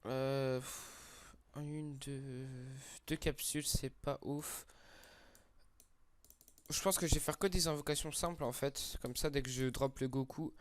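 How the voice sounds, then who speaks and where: A man's voice: medium pitch, fairly even intonation, unhurried, long pauses, no room sound. A young man with a slight head cold, casually commenting on game summons with lots of fillers, hesitations and crude slang. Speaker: gaming YouTuber.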